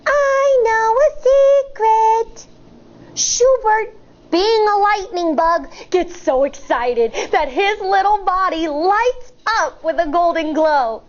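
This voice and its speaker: A woman in her thirties, a teacher, reading a picture book aloud to children in an expressive storyteller manner, recorded in a studio on a lapel mic. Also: very high-pitched, lo-fi sound. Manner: expressive, sing-song, storyteller. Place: indoor studio in front of a backdrop.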